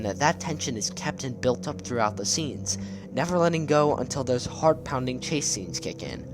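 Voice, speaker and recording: A man giving casual scripted video commentary in a conversational, enthusiastic way. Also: medium pitch. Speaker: video essay narrator. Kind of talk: casual scripted commentary on horror web series.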